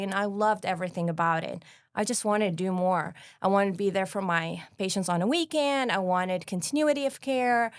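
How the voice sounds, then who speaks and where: A woman's voice: mid-range voice, clear, resonant tone, no echo, studio quality. A woman from Lebanon, a family doctor and interview guest, talking earnestly with frequent ums. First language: Arabic. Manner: earnest, talks fast with many ums. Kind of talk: casual interview talk.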